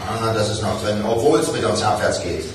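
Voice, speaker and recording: An actor playing a brisk, sociable boss of lift mechanics in a radio crime drama. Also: male voice, fairly low pitch, lightly acted. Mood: businesslike. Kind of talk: naturalistic 1980s German radio crime drama acting. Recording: echoey, distant, noisy.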